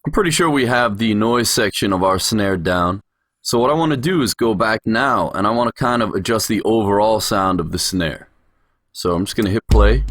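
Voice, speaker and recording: A man narrating a software tutorial as an instructor, explaining things step by step. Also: lowish voice, moderate pace, no echo, studio quality. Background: music production sounds, drum hits.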